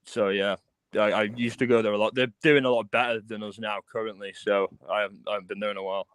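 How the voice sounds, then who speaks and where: A man's voice: fairly low pitch, level tone, fast talker, a bit bright, clear, resonant tone, no echo. A man with a Lancashire accent from the north of England, a guest musician chatting casually on a livestream, telling stories with quoted asides. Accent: Northern English, Lancashire.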